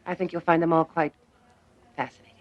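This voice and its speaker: An actress playing an experienced, composed female psychiatric nurse in a television drama, speaking in a measured, matter-of-fact way. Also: sits low, flat delivery, lo-fi sound, lightly acted. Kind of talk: naturalistic television drama dialogue.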